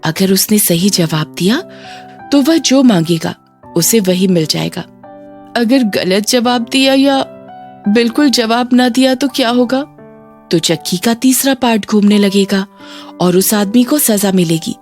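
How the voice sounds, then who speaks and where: Storybook fairy, voiced by a woman: kindly yet stern, unhurried, measured delivery over a music bed. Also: mid-range voice, a little mellow, lightly acted. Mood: explaining, reassuring. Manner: measured, instructive, recites riddles. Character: kindly female fairy of a magic grindstone, wise and stern. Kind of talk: children's fairy tale acting.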